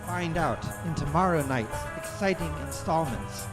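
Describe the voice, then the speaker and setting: A man's voice: on the high side, lively intonation, unhurried, loud music behind the voice. A man narrating a radio serial's cliffhanger questions in a scripted announcer style.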